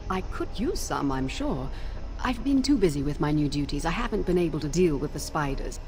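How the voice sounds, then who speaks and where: Officious, animated lady mage. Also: deep voice, a little fast. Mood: relieved, grateful. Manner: formal, hesitant, expressive. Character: flustered, officious female senior mage guarding her secret. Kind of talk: fantasy video game acting.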